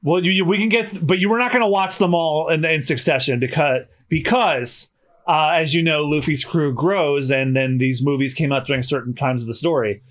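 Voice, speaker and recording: Chatty male podcast host, slightly hoarse. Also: on the high side, moderate pace. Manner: chatty, self-correcting restarts. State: slightly hoarse after dental work. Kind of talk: casual podcast chat.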